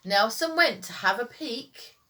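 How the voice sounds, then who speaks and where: A woman teacher reading children's stories aloud in an expressive, sing-song storytime style. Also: on the high side, measured pace.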